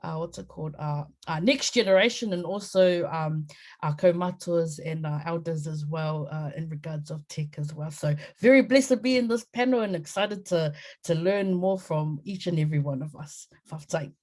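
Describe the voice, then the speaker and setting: A speaker introducing themself on a video-call panel, energetic and chatty with frequent fillers. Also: medium pitch, normal speed. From New Zealand. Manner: warm, energetic, many fillers. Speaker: software engineer, panelist. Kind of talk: casual self-introduction.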